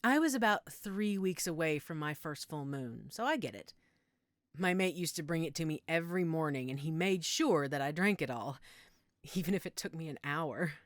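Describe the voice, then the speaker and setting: A woman's voice: deep voice, lively intonation, moderate pace, no room sound, studio quality. A woman playing a frank, caring female werewolf in an audio roleplay, matter-of-fact and reassuring with a wry edge. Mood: wryly sympathetic. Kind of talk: audio roleplay drama, one-sided conversation.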